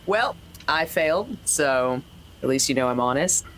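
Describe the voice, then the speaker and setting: A woman's voice: a bass voice, animated, a little slow, a bit bright. A woman playing a tabletop role-playing game, chatting casually about her moves. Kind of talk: casual chat. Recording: faint background noise.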